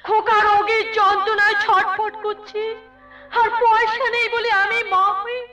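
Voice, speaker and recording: A woman's voice: extremely high pitch, level tone, a little slow, bright, forceful tone, faint music behind, full character voice. An actress playing a poor, self-sacrificing young mother, tearful and pleading in Bengali folk theatre melodrama. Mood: tearful despair. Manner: tearful, pleading, repeats phrases.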